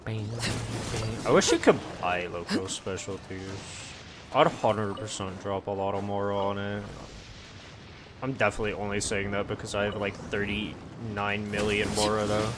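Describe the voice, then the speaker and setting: A man's voice: fairly low pitch, fairly even intonation, moderate pace. A man giving casual gameplay commentary, rambling and thinking aloud as he plays. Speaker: game streamer. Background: video game music.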